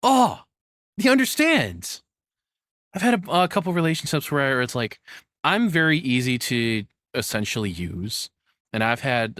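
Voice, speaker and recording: A man's voice: mid-range voice, fairly bright tone, no echo. A man giving casual reaction commentary as a streamer, rambling candidly and self-deprecatingly, with casual swearing.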